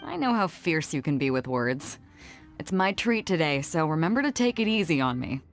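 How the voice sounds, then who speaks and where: A woman's voice: sits low, sing-song, moderate pace. An actress playing a bold, breezy woman sea captain in a video game, frank and swaggering. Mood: wary teasing. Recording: music behind the voice. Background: background music.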